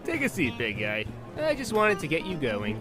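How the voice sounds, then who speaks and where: A man's voice: a little high, huge pitch swings, normal speed, music in the background. An actor playing a pushy, swaggering male bar owner in a wasteland audio drama, teasing and threatening.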